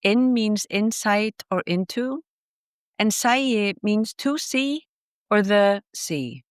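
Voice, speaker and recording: Smooth-voiced Icelandic woman explaining thoughtfully. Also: mid-range voice, expressive, takes it slowly, no room sound, clean studio sound. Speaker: author and guest. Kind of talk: podcast interview.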